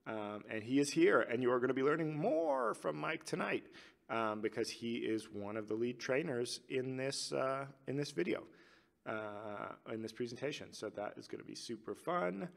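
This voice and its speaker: Gravelly man hosting a live stream. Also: mid-range voice, big pitch swings, moderate pace. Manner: loose and chatty, reading viewer chat aloud. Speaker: livestream host. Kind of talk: casual live-stream chat with viewers.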